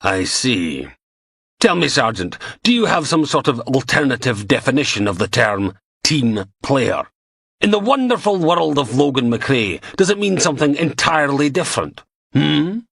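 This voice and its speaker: A man voicing a smug, sardonic senior police chief who talks in a measured way. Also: mid-range voice, big pitch swings, gravelly, fairly bright tone, slightly rough, dry recording, clean studio sound, voice pushed up, full character voice.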